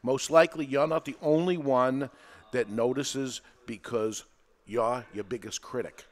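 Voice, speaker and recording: Energetic New England podcast host, man. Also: medium pitch, a little slow. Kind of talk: live podcast talk. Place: podcast studio with a live audience.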